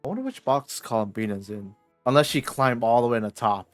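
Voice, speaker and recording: A man casually commenting while streaming a video game, with relaxed, offhand remarks. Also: medium pitch, fairly quick, dry recording, soft background music.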